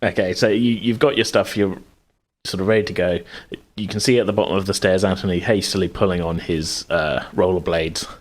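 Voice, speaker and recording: A man's voice: sits low, steady tone, brisk. A man running a tabletop game as game master, narrating casually in hesitant, conversational phrasing.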